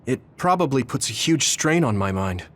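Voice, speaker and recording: An actor playing an earnest, modest young male rebel soldier in an anime game, speaking in a measured way. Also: medium pitch, very animated, fairly bright tone. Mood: somber, resolved. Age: young adult. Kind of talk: anime game cutscene dialogue. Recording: studio voice over game music.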